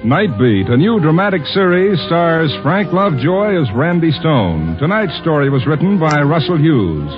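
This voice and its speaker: A man's voice: a little high, big pitch swings, moderate pace, few pauses. A male American announcer reading a script in old-time radio style. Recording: dull vintage radio recording with music.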